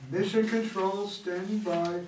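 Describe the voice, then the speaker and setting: A man's voice: high voice, fairly even intonation, measured pace, a little mellow. A man playing an earnest, by-the-book mission control officer in an amateur play reading, speaking in a formal, procedural way. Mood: businesslike. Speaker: amateur reader of a play, also reading stage directions. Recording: echoey room, distant mic, background noise.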